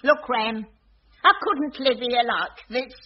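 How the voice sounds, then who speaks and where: An actress playing an amorous, demanding aging cleaner in a radio sitcom, with a coy, teasing manner. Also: female voice, fairly high pitch, slow pace, a little hiss or hum. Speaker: sitcom character. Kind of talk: 1980s radio sitcom acting. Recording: muffled radio broadcast with studio audience laughter.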